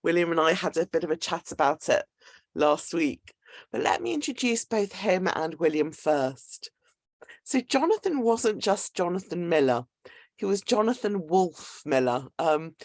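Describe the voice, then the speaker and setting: Female event host, low-pitched and articulate, explanatory delivery of a semi-scripted introduction, dry recording. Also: dry recording. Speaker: host introducing a guest speaker.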